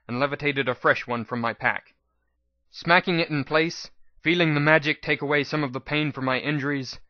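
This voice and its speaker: A man's voice: medium pitch, no room sound, highs cut off. A man giving a dramatic first-person scripted narration as the reader of a fan fiction audiobook.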